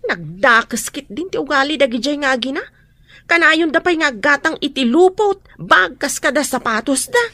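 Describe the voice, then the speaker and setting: A woman's voice: high voice, expressive, normal speed, pushed and bright, dry recording, heavily acted. A woman with an Ilocano accent playing an indignant, protective housemaid in a radio drama.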